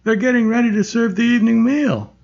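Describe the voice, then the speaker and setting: A man voicing a large, gentle, childlike man who speaks eagerly and innocently, a bit higher than his own voice. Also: brisk, gravelly, muffled recording, exaggerated voice.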